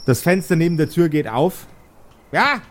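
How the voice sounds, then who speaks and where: A man's voice: on the high side, takes it slowly, a little mellow. A man narrating an improvised tabletop role-playing game as its game master.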